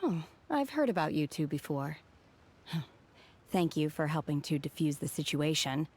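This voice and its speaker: An actress playing an aloof, blunt young woman from the mountains in a video game, speaking calmly and formally. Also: low voice, measured pace, breathy edge, exaggerated voice. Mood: cool, matter-of-fact. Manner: calm, formal, blunt, sighing. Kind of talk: anime-style video game voice acting.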